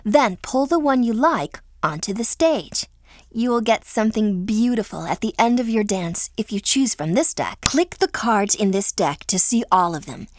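A woman voicing a friendly teenage high school girl who gives clear, upbeat instructions in a dance video game. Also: expressive, moderate pace, dry recording, clean studio sound, close to an everyday voice. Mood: upbeat, helpful.

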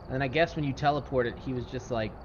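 A man's voice: mid-range voice, fast talker, lo-fi sound, background noise. A man chatting casually and jokingly as a teammate in a co-op video game. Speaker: gamer, co-op teammate. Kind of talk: casual gameplay chat. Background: loud game ambience.

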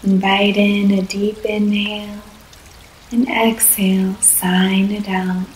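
A woman leading a guided yoga nidra relaxation in a soft, soothing voice, with long pauses between short phrases. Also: medium pitch, flat delivery. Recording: echoey room, faint background noise.

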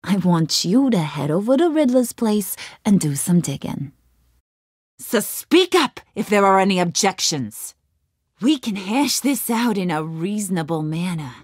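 A woman's voice: medium pitch, sing-song, unhurried, darkish tone, breathy edge, no room sound, clean studio sound. An actress playing a cunning, menacing young female gang boss in a video game, taunting and theatrical, swinging from sweet to threatening. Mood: bossy, sly.

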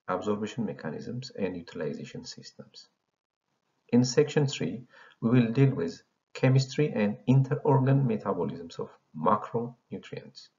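A man's voice: on the low side, creaky, a little mellow. A man from Ethiopia in his thirties, founder of an educational channel, reading a slide presentation in a formal lecturing tone. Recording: dull lo-fi voice recording.

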